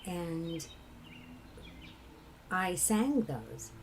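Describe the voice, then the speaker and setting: A woman from England with a low, husky voice, a singer telling personal stories in a reflective conversation. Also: sing-song, extremely slow, slight background noise. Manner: reflective, laughs often while telling stories. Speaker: kirtan singer.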